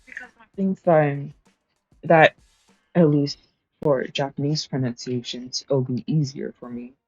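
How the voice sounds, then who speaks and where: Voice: mid-range voice, fairly even intonation, measured pace, darkish tone, no room sound, highs cut off, soft background music. A young adult streamer chatting casually while studying, rambling, correcting themselves and laughing often.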